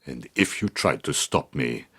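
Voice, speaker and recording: An actor playing a cold, calculating, arrogant mastermind villain in a video game, with a gravelly voice and a deliberate, smug, threatening delivery. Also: male voice, a bass voice, animated, bright, forceful tone, exaggerated voice. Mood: menacing. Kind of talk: scripted video game dialogue.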